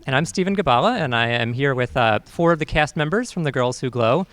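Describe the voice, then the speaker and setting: A man's voice: mid-range voice, lively intonation, brisk, a bit bright, no room sound. A man with an American accent hosting an interview, phrasing his questions in a hesitant, roundabout way. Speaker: host interviewer. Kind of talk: local TV interview.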